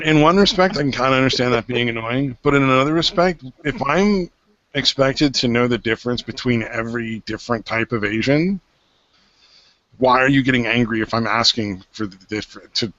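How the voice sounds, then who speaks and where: A man's voice: medium pitch, brisk, fairly bright tone, no echo. A man in his thirties hosting a casual podcast chat, rambling with lots of fillers and restarts. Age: 30s. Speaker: podcast host. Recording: dry, dull lo-fi podcast audio.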